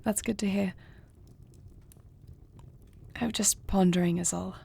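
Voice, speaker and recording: A husky, slightly gravelly dragon queen acted by a woman speaks in an intimate fantasy audio roleplay. Her phrasing is formal and regal, yet soft and tender toward her beloved. She sounds resolute and measured, with breath in the voice. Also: medium pitch, steady tone, full character voice. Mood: fond, teasing. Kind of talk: intimate audio roleplay acting, addressing the listener.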